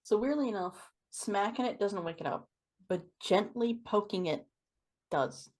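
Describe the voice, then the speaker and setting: Woman game master, mid-pitched voice. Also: lively intonation, measured pace, darkish tone. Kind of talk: narrating an online tabletop game. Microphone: online voice call from own mic.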